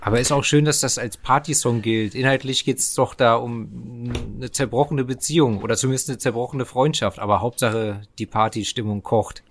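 Wry man in casual banter, medium pitch. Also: fairly even intonation, moderate pace, few pauses. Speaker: music podcast co-host. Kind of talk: casual chat.

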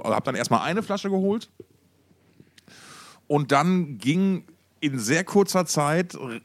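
A man chatting casually as a podcast co-host, excitable, often repeating words and cutting in. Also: medium pitch, no echo, clean studio sound. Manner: excitable, stammering repetitions, interrupting. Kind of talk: casual podcast banter, anecdotes.

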